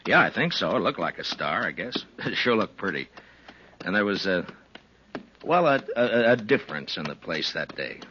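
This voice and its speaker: An American man acting as a laconic, low-key frontier lawman in a 1950s radio western. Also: big pitch swings, normal speed, bright, forceful tone, slight background noise, exaggerated voice. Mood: reflective, halting. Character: laconic frontier lawman telling stories on the trail. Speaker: lead actor and narrator. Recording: muffled vintage radio recording with music.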